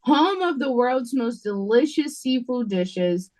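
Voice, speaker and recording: A woman's voice: fairly high pitch, animated, takes it slowly, a little mellow. Woman playing an irritated, sarcastic waitress. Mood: reciting. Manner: curt, exasperated. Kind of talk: audio drama read-through. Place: remote reading over a video call. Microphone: video call.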